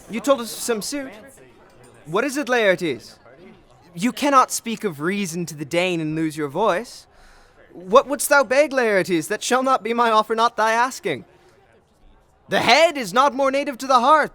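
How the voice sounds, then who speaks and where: A man's voice: high voice, pushed and bright, roomy sound. A man playing a smug, jovial king addressing his court in a theatrical Shakespearean audio drama. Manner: theatrical, declamatory.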